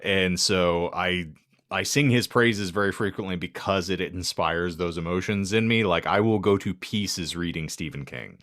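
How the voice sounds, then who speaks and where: A man's voice: low voice, normal speed, fairly bright tone, no echo, clean studio sound. A man co-hosting a podcast, talking casually about books in wordy, articulate phrasing. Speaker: podcast co-host. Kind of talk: casual book chat.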